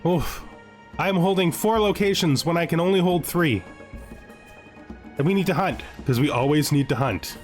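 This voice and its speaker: A man with a slightly high voice gives playful gameplay commentary as a video host. He talks to himself in an animated way, often repeating his phrases. Faint music plays behind him. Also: normal speed, pauses often. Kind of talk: playful gameplay commentary with a scripted lore narration.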